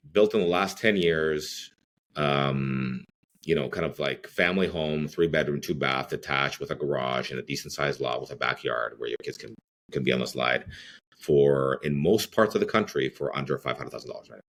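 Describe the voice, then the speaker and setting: Middle-aged man from Greece, very low voice, loose rambling podcast guest full of fillers. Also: moderate pace, vocal fry, fairly bright tone. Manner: rambling, many fillers and restarts. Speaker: real estate CEO guest. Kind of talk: podcast interview.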